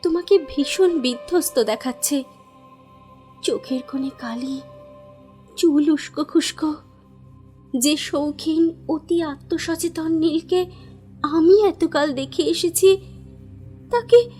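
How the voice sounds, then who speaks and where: A woman playing an affectionate, anxious young bride-to-be in a Bengali audio drama, speaking in a theatrical, expressive way. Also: very high-pitched, takes it slowly, long pauses, faint music behind. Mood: anxious, pleading. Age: young adult. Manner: theatrical, expressive, hesitant repetitions when alarmed.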